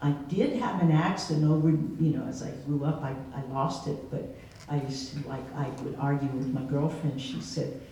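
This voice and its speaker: Woman keynote speaker, warm low-key tone, reflective storyteller; far from the mic. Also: steady tone, moderate pace. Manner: reflective, conversational, storytelling with laughs. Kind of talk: answering an audience question. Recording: echoey hall from far off, faint noise.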